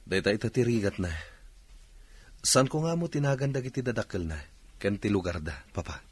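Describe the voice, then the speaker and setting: A man's voice: fairly low pitch, lively intonation, brisk, husky, no echo. An actor playing an anguished, quarrelsome young father in a radio drama. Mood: troubled.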